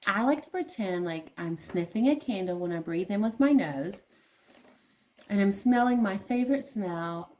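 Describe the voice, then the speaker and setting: A woman's voice: medium pitch, a little mellow, a little hiss or hum. A woman teacher reading aloud to young children, explaining things simply and asking them questions as she goes. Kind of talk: children's read-aloud lesson.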